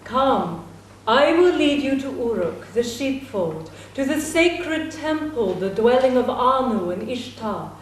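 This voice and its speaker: An alluring young woman coaxes in a high, unhurried voice. The reading sounds distant and roomy, with a faint hiss behind it. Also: lively intonation. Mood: coaxing. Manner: coaxing, inviting. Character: alluring young woman coaxing a wild man. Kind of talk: dramatic reading. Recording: distant mic, echoey room.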